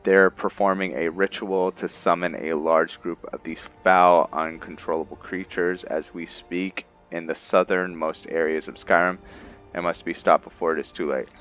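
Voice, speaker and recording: A man giving casual commentary on his roleplay game, thinking aloud in a halting way. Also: low voice, level tone, fairly bright tone, dull audio, soft background music. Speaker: gamer narrating a roleplay playthrough.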